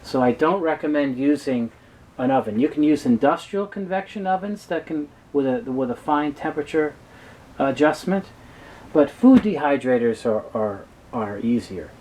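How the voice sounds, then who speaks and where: A man giving a halting, explanatory technical talk as an expert guest in an interview. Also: on the high side, animated, darkish tone, slight background noise, poor audio. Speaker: guest expert on reel-to-reel tape.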